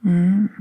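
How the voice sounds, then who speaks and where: A woman playing a gentle magical veterinarian in an ASMR roleplay, soothing and reassuring in a hushed, breathy voice. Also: mid-range voice, phone-quality sound.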